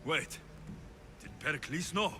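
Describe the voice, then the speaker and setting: A man's voice: a little high, lively intonation, measured pace, background noise. An actor playing a blunt, wary young male Greek mercenary hero in a dramatic video game cutscene, full of pointed questions. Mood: questioning.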